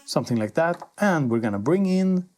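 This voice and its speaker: A man's voice: on the high side, lively intonation, a little fast, a little mellow. A man presenting a casual hands-on gear demo, thinking aloud in an informal way as he goes. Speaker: synth reviewer and presenter. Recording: synthesizer music playing alongside voice. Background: synthesizer sounds.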